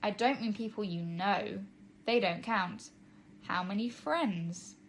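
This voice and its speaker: A woman's voice: medium pitch, slow, a little hiss or hum. A woman voicing a direct, unruffled young girl in a children's story, speaking insistently.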